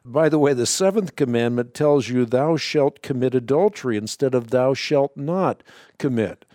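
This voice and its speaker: A Dutch-born man hosting a call-in radio show, answering a caller in a warm, mellow voice. He explains with authority and leans on his points with emphasis. The studio sound is close and dry. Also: medium pitch, moderate pace, no echo.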